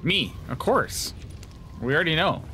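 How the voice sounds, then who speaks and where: A man's voice: a little high, big pitch swings, a little slow, sharp tone, slight background noise. A man giving casual, informal and jokey commentary over a video game playthrough. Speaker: gaming video host. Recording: game music under the voice. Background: game music.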